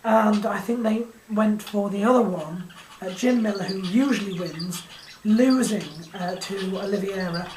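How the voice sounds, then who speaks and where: A woman with a hoarse voice talks through a recap in a loose, hesitant way, filling gaps with ums and uhs. Her voice sits mid-pitch for a woman. The room is echoey, with a faint hiss behind her. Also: unhurried, a little mellow. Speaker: fight card recap vlogger. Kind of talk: casual spoken recap.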